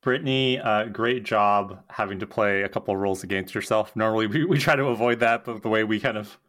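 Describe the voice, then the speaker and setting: A man's voice: lowish voice, fairly quick, a bit bright. A man chatting casually as a podcast panelist. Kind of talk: casual chat.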